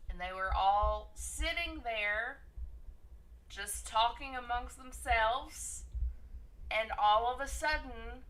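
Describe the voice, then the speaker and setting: A woman with a hoarse, raspy voice from illness, telling a story in a slow, halting way. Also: on the high side, fairly bright tone. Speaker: guest joining the stream. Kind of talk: casual storytelling. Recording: far from the mic.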